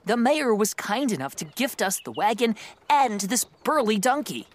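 A woman's voice: fairly high pitch, sing-song, normal speed. A woman playing an earnest, grandly polite, placating adventurer in a comedic fantasy audio drama.

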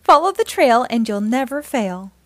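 A woman hosting a children's storytime, reading aloud in an animated, encouraging way and speaking directly to young listeners. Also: on the high side, moderate pace, no echo, clean studio sound.

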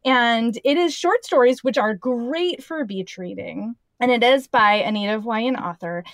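A woman's voice: fairly high pitch, unhurried, no room sound, studio quality. A woman co-hosting a podcast, chatting casually about a book with many fillers. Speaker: podcast co-host. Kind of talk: casual chat.

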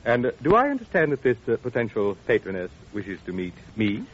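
A pompous, grasping upper-crust hospital director in an old radio comedy. His mid-pitched voice is lively and fussy, full of important hesitations. It comes through muffled vintage broadcast sound. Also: fairly quick, a little hiss or hum. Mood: astonished, greedy. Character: pompous, money-hungry blue-blood hospital director. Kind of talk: 1940s radio comedy-drama acting.

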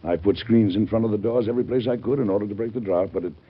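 A man's voice: fairly low pitch, quick pace, husky. An American man acting an earnest, formal, beleaguered but resolute president in an old-time radio drama. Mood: dry, exasperated. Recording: muffled old radio show recording.

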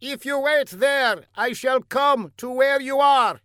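A man's voice: very high voice, slow pace, pushed and bright, clear, resonant tone, no echo, studio quality, pitched above the performer's own voice, exaggerated voice. A man voicing a pompous, demanding older bearded secret agent who bellows in stilted, broken English.

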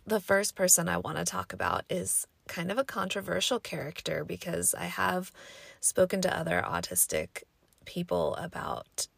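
Raspy-voiced woman podcaster, low and gravelly. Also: no room sound. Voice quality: raspy, scratchy voice. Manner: thoughtful, rambling, many fillers. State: hoarse from allergies. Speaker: podcast host. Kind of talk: casual solo podcast monologue.

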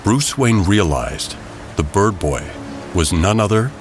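A very low-voiced man narrates an adventure story in a dramatic, scripted style. Music plays clearly behind him. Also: sing-song, slow pace.

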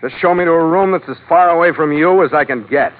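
An actor playing a tough, cynical, wisecracking sea captain with blunt, slangy delivery in an old-time radio drama. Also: male voice, fairly high pitch, quick pace, natural voice. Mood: curt, sardonic. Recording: tinny old radio broadcast with music behind.

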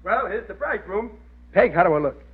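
Casting: dim, stubborn working-class dad, high blustery voice, very narrow tinny radio sound. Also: male voice, expressive, a little fast, breathy edge, exaggerated voice. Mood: proud, blustering. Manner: indignant, ungrammatical, boastful. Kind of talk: 1940s radio sitcom acting.

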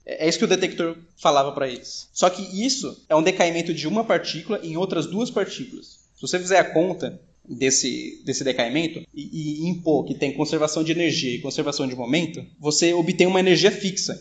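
A man co-hosting a podcast, explaining physics history in casual chat with many restarts. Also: a little high. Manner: fast, many restarts and "né?" tags. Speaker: podcast co-host.

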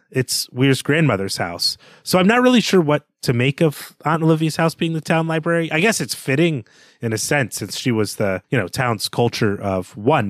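A man's voice: medium pitch, no room sound, clean studio sound. A man co-hosting a podcast, discussing a book casually and thoughtfully, reasoning his way through it conversationally. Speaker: podcast co-host.

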